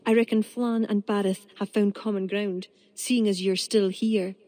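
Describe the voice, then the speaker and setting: A woman's voice: mid-range voice, fairly even intonation, normal speed, mellow, slight background noise, close to an everyday voice. An actress with an Irish accent playing a witty young woman who serves as a royal fixer in a video game cutscene, with a teasing, wry delivery.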